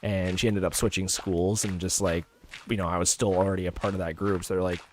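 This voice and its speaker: Low-voiced, slightly raspy man rambling. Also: flat delivery, a little fast, a little hiss or hum. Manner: rambling, heavy use of "like" fillers. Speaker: streamer. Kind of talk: casual chat over gameplay.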